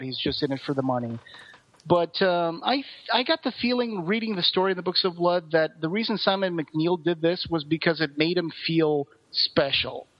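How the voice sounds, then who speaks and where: A man's voice: high voice, dull audio. A man co-hosting a podcast, talking through a review in a casual, rambling, explanatory way. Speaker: podcast co-host.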